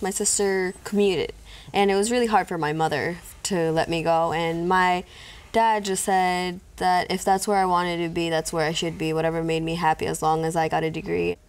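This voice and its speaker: A woman's voice: on the low side, steady tone, normal speed. A woman engineering student from New York, originally from Ecuador, talking in a friendly, straightforward way. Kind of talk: interview answers.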